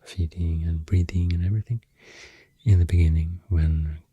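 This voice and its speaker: A man with a Swedish accent softly rambling through an ASMR explanation, breathy and often near a whisper, soothing and hesitant in manner. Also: sits low, level tone, normal speed, dry recording, dull audio. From Sweden. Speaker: ASMR host.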